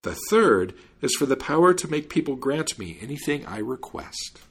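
Man playing a cocky, animated young-spirited servant. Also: mid-range voice, moderate pace, breathy edge, pitched above the performer's own voice, exaggerated voice. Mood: eager. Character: cocky, mischievous male servant in a folk tale.

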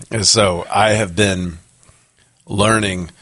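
A man with a low, hoarse voice chats casually as a podcast co-host, circling his points with fillers and false starts. The sound is close and dry, as if recorded in an office. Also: fairly even intonation, very slow, a bit bright, dry recording. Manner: rambling, many fillers and restarts. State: hoarse from a cold. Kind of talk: casual chat.

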